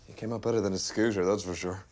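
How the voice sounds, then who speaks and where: A man playing a manipulative, dismissive boyfriend in a dark comedy film, with a husky voice and a casual, condescending way of talking. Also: low voice, fairly even intonation, fast, lightly acted. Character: manipulative, dismissive boyfriend, smoothly guilt-tripping.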